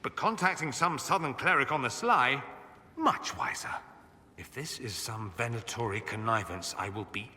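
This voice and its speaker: A man's voice: mid-range voice, normal speed, sharp tone, slight background noise. An actor playing a witty, proud, bitter young nobleman mage in a video game, with a sardonic, theatrical and eloquent delivery. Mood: indignant. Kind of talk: dramatic video game acting. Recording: long hall reverb.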